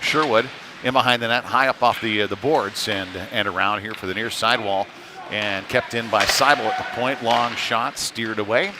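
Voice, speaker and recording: A man doing loose, conversational live hockey play-by-play in a halting, casual way. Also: fairly low pitch. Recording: noisy rink ambience, live stream.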